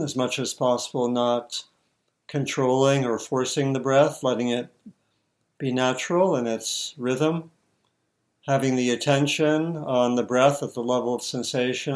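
A man teaching meditation, giving guided instructions in short, measured phrases with long pauses. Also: mid-range voice.

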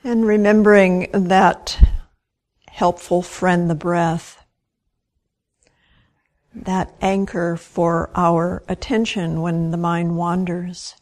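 A woman guides a meditation in a slightly low voice. Her delivery is very slow and gentle. She speaks in short phrases and leaves long, unhurried pauses between them. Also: little pitch movement. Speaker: meditation teacher.

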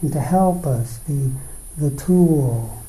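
Slow, very mellow male meditation teacher. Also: a little high. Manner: unhurried, pausing between short phrases. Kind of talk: guided meditation, dharma talk. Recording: roomy, echoing space.

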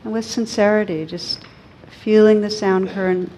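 A woman leading a guided meditation as a teacher, speaking gently and soothingly with long pauses between phrases. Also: fairly even intonation. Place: live group meditation gathering. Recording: muffled live recording with low rumble noise.

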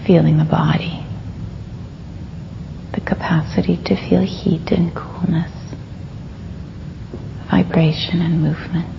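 A woman meditation teacher leading a guided meditation, speaking softly and gently with long pauses and a breathy fade at phrase ends. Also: fairly low pitch, flat delivery. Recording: dull lo-fi recording with faint noise.

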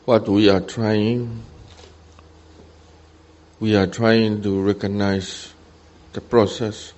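Slow, low-voiced Burmese-accented man guiding meditation. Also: little pitch movement, darkish tone. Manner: short simple phrases with long pauses. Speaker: meditation teacher, Buddhist monk. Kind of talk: guided meditation instruction.

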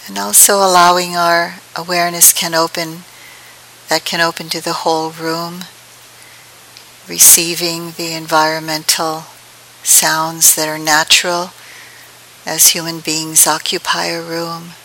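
A woman leading a guided meditation as a teacher, speaking gently in unhurried phrases with long pauses. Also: fairly low pitch, poor audio. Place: meditation hall at a group retreat. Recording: steady hiss behind the voice.

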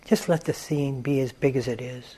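A man teaching a guided meditation in a calm, gentle manner, leaving long pauses between phrases. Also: mid-range voice, slightly rough. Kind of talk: guided meditation, unscripted instruction.

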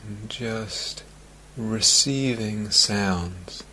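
Slow, low-voiced man guiding meditation. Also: slight background noise, low-quality recording. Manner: calm, gentle, unhurried with long phrasing gaps. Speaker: meditation teacher. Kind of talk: guided meditation. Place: meditation retreat talk, recorded live.

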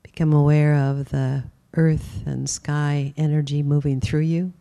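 Woman, meditation teacher, soft dark tone, very even pitch, gentle, unhurried, long pauses. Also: gravelly. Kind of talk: guided meditation.

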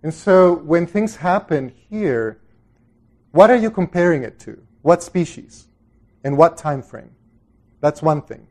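A man's voice: on the high side, lively intonation, slow pace, a little mellow. A middle-aged man from El Salvador giving an informal dharma talk to a group, conversational and reflective, often trailing off into asides. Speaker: Buddhist meditation teacher.

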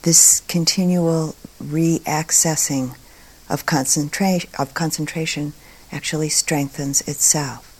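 Slow, low-voiced woman guiding meditation. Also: breathy edge, poor audio. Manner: calm, deliberate, with long pauses between short phrases. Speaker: meditation teacher. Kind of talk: guided meditation talk.

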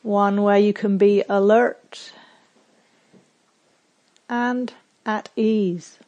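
A woman guides a meditation at a very unhurried pace. Her voice sits at a middle pitch. She gives gentle instructions in short phrases, leaving plenty of silence between them. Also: steady tone, highs cut off. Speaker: meditation teacher.

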